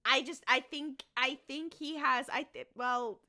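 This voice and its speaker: A woman with a bright, forceful voice pitched a bit high. She talks casually on a comedy podcast, making emphatic fan arguments and repeating phrases for weight. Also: steady tone, measured pace. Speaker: podcast panelist.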